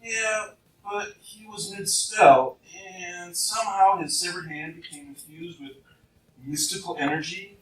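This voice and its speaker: A man's voice: high voice, unhurried, crowd noise. A man playing a gargoyle hero who tells of old battles in a casual way, in a live radio play. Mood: wry storytelling. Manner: casual storytelling. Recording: roomy, noisy, distant live recording.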